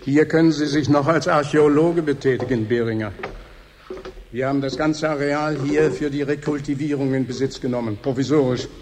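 A man's voice: fairly high pitch, steady tone, a little fast, darkish tone, close to an everyday voice. An actor playing a matter-of-fact station man showing a newcomer around in a German radio drama, explaining things in a businesslike way. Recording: long hall reverb, faint noise.

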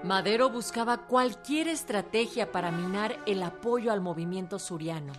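A woman's voice: mid-range voice, expressive, normal speed. A woman with a Mexican accent reads scripted radio documentary narration. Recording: loud music under the narration. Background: loud string music.

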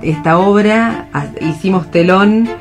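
A woman's voice: medium pitch, unhurried, over music. A woman with an Argentine accent hosting a casual round-table discussion on the radio. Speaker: host of a radio theatre programme.

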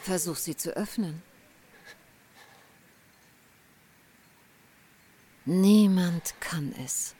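A woman's voice: fairly low pitch, slow pace, mellow, breathy edge. An actress playing a seductive, imperious queen in a literary radio drama, coaxing and playful in a languid manner. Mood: teasing, commanding.

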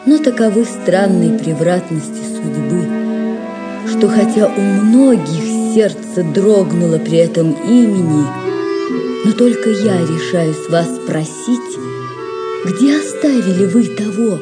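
A woman's voice: medium pitch, animated, slow pace, loud music behind the voice. A woman acting a dignified, gracious young noble lady in a theatrical audio drama, speaking in a stately, formal manner. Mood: gracious, wistful.

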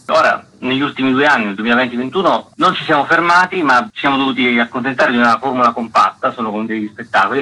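A man's voice: medium pitch, sharp tone, rough recording. A man speaking as a festival director, explaining things as a guest in a radio interview.

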